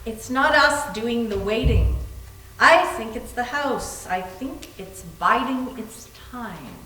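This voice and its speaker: A high voice, a little far from the microphone in an echoing room, a woman acting a nervous, earnest woman. She sounds anxious yet strives to be level-headed, in readers theater style. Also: lively intonation, slow pace, bright, forceful tone, exaggerated voice. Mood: uneasy. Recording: echoey room, distant microphone.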